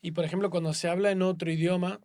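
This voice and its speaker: A man's voice: sits high, little pitch movement, fast talker, a little mellow, no echo, clean studio sound. A young man hosting a podcast, asking curious, casual questions and affirming his guest. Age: young adult. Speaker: podcast host.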